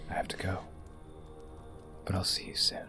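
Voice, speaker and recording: An actor playing a smug, menacing blackmailer in an audio drama, controlled and threatening. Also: male voice, moderate pace, in a whisper, close to an everyday voice. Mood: quietly threatening. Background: prominent music.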